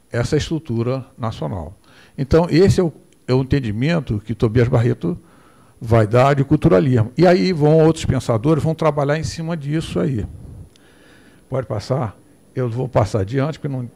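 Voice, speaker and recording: A man's voice: medium pitch, mellow. Older man lecturing from a podium. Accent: Brazilian Portuguese. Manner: digressive, asks for the next slide. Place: hall with projector screen, indoors. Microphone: podium microphone.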